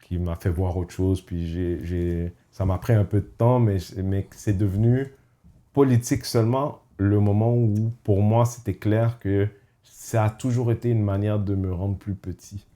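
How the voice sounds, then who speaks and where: A man with a low voice and a warm, mellow tone talks in a casual podcast chat. He is reflective and halting, restarts his sentences often, and slips English phrases into what he says. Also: steady tone. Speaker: podcast host.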